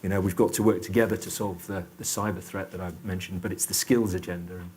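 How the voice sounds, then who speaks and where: Low-voiced hesitant male panelist. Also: steady tone, fast talker, darkish tone, breathy edge. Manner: hesitant, many fillers and restarts. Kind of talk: panel discussion.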